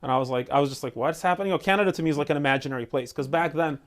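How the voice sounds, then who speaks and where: A man in his forties, from Ukraine with Russian as his first language, telling his story as a guest in a casual interview and acting out quoted lines. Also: fairly high pitch. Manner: fast, animated, acts out quotes. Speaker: guest, entrepreneur.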